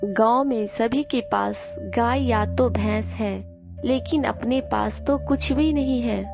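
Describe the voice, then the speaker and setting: A woman's voice: on the high side, slow, creaky, over music. A woman playing a poor farmer's anxious, coaxing wife in a cartoon village story. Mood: complaining.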